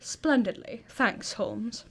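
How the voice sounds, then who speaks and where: Woman acting as curious female detective's companion, slightly high voice, cheery, old-fashioned politeness, radio drama. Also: takes it slowly, creaky. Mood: cheerful, curious.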